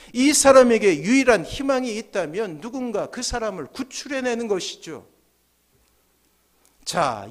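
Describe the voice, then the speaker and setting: High-pitched male preacher, emphatic delivery. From South Korea. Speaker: pastor. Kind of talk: sermon. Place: church sanctuary, at the pulpit. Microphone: gooseneck podium microphones.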